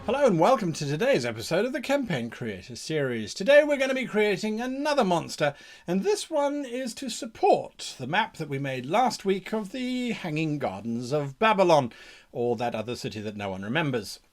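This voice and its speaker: A man's voice: a little high, very animated, moderate pace, soft background music. A man hosting an advice show in a casual, enthusiastic explaining style, with theatrical emphasis. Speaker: host of a game mastering advice show.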